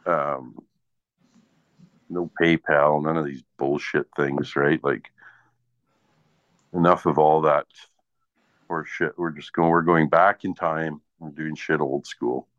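A man's voice: very deep voice, fairly even intonation, takes it slowly, long pauses, fairly bright tone. A man chatting casually in a blunt, grumbling, sweary way. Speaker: small business owner and online seller. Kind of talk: casual chat. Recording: dull lo-fi sound in echoey room.

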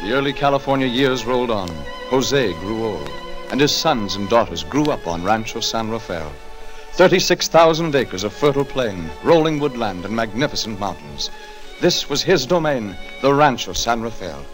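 A man narrates in dramatic 1940s radio style. His mid-pitched voice is solemn and declamatory, with sweeping inflection. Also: very animated, normal speed, music in the background, rough recording. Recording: 1940s radio broadcast recording.

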